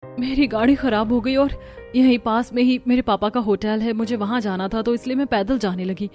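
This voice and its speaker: A woman's voice: on the high side, steady tone, a little fast, dark tone, soft background music, close to an everyday voice. A woman voicing a polite, well-off young woman in a cartoon story. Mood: grateful, polite. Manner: polite, mixes in English phrases. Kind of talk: Hindi cartoon story dubbing.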